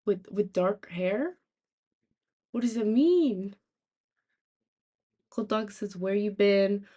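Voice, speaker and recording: A young woman with a raspy, sore-throated voice, chatting casually to live stream viewers in a halting, rambling way. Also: medium pitch, warm tone. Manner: halting, rambling, thinking aloud. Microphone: laptop, without usual microphone.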